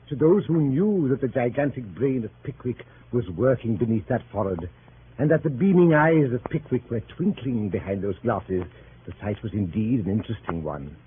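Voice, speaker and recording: An actor playing a grandiloquent storyteller, narrating with pompous, mock-solemn formality in 1930s radio drama style. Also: male voice, mid-range voice, close to an everyday voice. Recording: hissy narrow-band 1938 radio recording.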